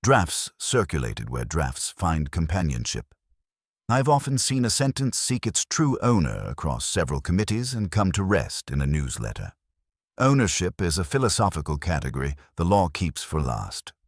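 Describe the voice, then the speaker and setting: A man's voice: low-pitched, big pitch swings, moderate pace, breathy edge, dry recording, clean studio sound, heavily acted. A man playing a cautious, wryly pompous small-town solicitor in an audio drama, formal and fussily precise. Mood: wry, discreet. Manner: formal, fussy, precise wording.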